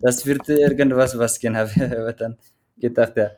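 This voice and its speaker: A man's voice: mid-range voice, fairly even intonation, normal speed, a little mellow, slight background noise. A man from Eritrea with an Eritrean accent, a runner interviewed in a casual chat, speaking hesitantly with many restarts as he searches for words. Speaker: guest, mountain runner.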